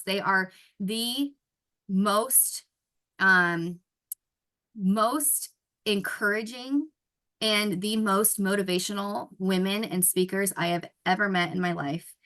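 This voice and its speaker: A woman's voice: mid-range voice, pushed and bright. A woman hosting a trading webinar on a video call, introducing her co-coaches with enthusiasm. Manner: enthusiastic, praising. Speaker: trading teacher and webinar host.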